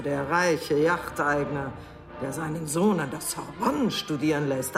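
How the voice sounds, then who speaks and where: An actress playing a scornful, mercenary middle-aged wife in a radio play, cutting, mocking and haughty in manner. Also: female voice, low-pitched. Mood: mocking, contemptuous.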